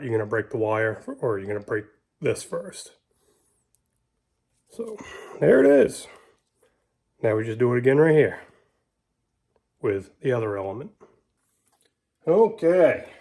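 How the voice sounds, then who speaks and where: Male DIY host, medium pitch, colloquial and down-to-earth, talking through practical steps while his hands are busy. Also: a little mellow, breathy edge. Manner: folksy, colloquial. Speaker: host of a DIY how-to video. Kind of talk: casual hands-on tutorial talk while working.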